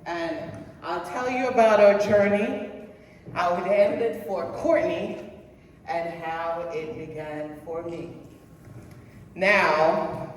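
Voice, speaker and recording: A middle-aged woman from Jamaica, giving a personal motivational speech in a slow, deliberate way and putting questions to the audience. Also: on the low side, a little hiss or hum. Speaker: presentation speaker. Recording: echoey hall heard from a distance.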